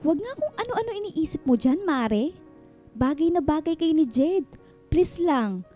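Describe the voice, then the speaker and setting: A sassy, high-voiced best friend. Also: female voice, very animated, moderate pace, mellow, faint music behind. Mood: teasing. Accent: Filipino. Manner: sassy, playful, outspoken. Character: blunt, teasing, supportive female best friend. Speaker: voice actor in a radio drama. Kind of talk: Filipino radio drama acting.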